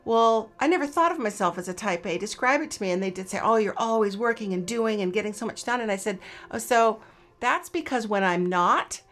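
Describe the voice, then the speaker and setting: A woman's voice: mid-range voice, animated, a little fast, fairly bright tone. Woman host with North American accent. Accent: North American with some Australian. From Canada. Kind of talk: casual interview chat.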